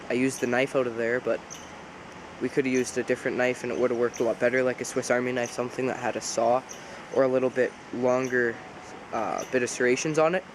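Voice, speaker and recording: A man's voice: medium pitch, rough recording. Man, nasal from a cold, easygoing outdoors vlogger talking through a demo, faint outdoor hiss behind. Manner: relaxed, rambling, self-correcting. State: congested with a cold. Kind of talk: casual outdoor demonstration. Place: outdoors by a pond.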